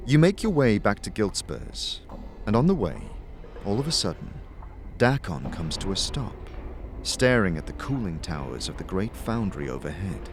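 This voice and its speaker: A man narrating a fantasy audio drama as game master, deliberate and suspenseful in his scripted delivery. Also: fairly low pitch, sing-song, soft background music.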